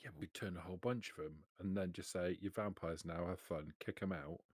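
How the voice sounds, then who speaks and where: A man's voice: sits low, fairly even intonation, a little fast, a little mellow, slight background noise. A man playing a tabletop role-playing game, talking in character at the table in a blunt, dry way.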